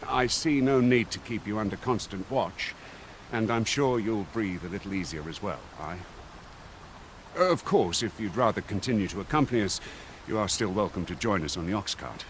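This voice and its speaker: A man's voice: on the low side, background noise. A man playing a courteous soldier in a medieval fantasy video game, speaking in formal, old-fashioned phrases. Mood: courteous, inviting. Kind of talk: video game voice acting, archaic formal speech.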